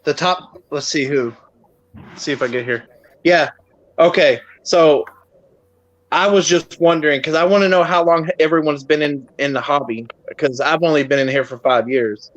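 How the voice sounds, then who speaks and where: A man's voice: fairly high pitch, normal speed, no room sound, muffled recording. A man in his thirties, from the United States, chatting casually as a co-host and rambling with frequent restarts. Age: 30s. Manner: rambling, hesitant, many restarts. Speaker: co-host of a pet keepers' live show. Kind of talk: casual group chat.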